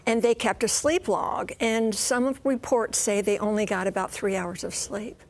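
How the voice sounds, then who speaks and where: A woman's voice: medium pitch, steady tone, moderate pace, slight background noise. An American woman working as a news correspondent, explaining a story live in an informal, explanatory way. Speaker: transportation correspondent.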